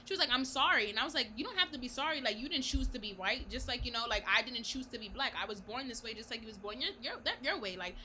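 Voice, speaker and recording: A young woman with a high-pitched, bright voice talks frankly, laughing often and restarting her thoughts. The call carries a faint hiss of background noise. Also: lively intonation, quick pace, few pauses. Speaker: podcast co-host. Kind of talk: casual chat.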